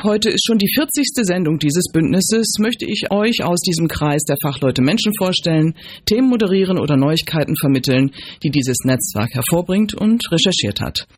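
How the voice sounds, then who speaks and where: A woman's voice: fairly low pitch, husky, dry recording. A woman presenting a community radio programme from a script as its host. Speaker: radio host, environmental association chairwoman.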